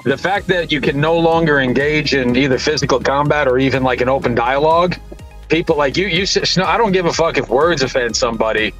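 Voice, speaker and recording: A man's voice: on the high side, brisk, a bit bright, faint music behind, low-quality recording. A man in his forties on a video call podcast, arguing bluntly and provocatively, with plenty of swearing. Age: 40s. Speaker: podcast panelist. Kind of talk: heated debate on a video call. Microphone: studio mic on a video call.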